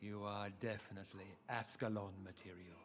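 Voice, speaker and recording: An actor playing an ancient aristocratic British vampire lord in a video game, speaking in a formal, measured, grandiloquent manner. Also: male voice, on the low side, steady tone, a bit bright, lightly acted. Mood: courteous, imperious. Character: ancient aristocratic male vampire lord, founder of a secret club. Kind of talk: scripted video game dialogue.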